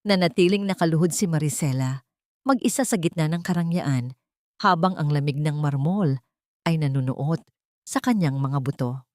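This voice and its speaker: Narrator for a melodrama: woman, lowish voice, scripted dramatic delivery, very dry recording. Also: normal speed, a little mellow, dry recording.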